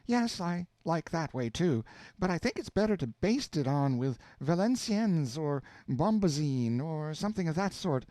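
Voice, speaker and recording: A man voicing a chattering housewife who exclaims loudly into a telephone. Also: on the high side, very animated, normal speed, no echo, pitched above the performer's own voice. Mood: exclaiming. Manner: shouting into the phone, exclaiming.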